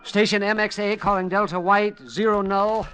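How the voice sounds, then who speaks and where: An actor playing a middle-aged, henpecked schoolteacher and amateur scientist, nervous and eager, in an old radio drama. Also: male voice, high-pitched, little pitch movement, normal speed, a bit bright. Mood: eager, deferential. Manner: flustered, stammering, deferential. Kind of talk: 1940s-50s radio drama acting. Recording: noisy, crackly vintage radio recording.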